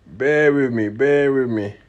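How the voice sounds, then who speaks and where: A man's voice: mid-range voice, dull audio. A man, originally from Congo, hosting a casual interview over a video call, often repeating words as he searches for what to say. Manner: halting, repeats words while searching for phrasing. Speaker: podcast host.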